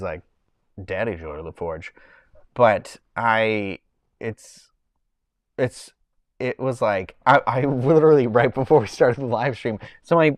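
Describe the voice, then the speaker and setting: A man's voice: medium pitch, no echo. A man with a Boston accent, hosting a casual livestream from home and chatting in a rambling way while he reads the chat. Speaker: livestream host.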